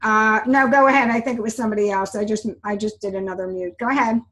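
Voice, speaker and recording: A woman's voice: a little high, fairly even intonation, fast, a bit bright. Older woman hosting a video call. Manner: friendly, managing the call. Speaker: host.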